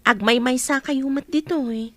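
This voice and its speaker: A woman's voice: high-pitched, moderate pace, a bit bright, no echo. A young woman playing a caring, sociable village nurse in an Ilocano radio drama. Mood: friendly, chatty.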